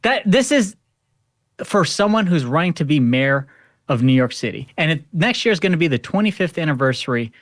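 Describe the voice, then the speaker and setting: A man's voice: a little high, big pitch swings, fairly quick, no room sound, studio quality. An American man giving opinionated political panel talk in a sardonic way, repeating phrases for emphasis. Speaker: podcast host appearing as panel guest.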